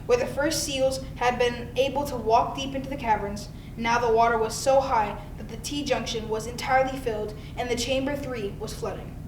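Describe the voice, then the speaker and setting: A woman's voice: fairly even intonation, moderate pace, few pauses. A woman reading a scripted narrative aloud, occasionally stumbling over her words. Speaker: volunteer audiobook reader from a school community. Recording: roomy, distant, noisy with low rumble.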